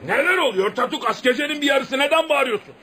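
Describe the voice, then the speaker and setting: An actor playing a stern, scornful tribesman in a radio play, scolding in a forceful way. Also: extremely high pitch, expressive, fast talker, heavily acted. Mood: urgent. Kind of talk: Turkish radio theatre acting. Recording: noisy old radio drama recording.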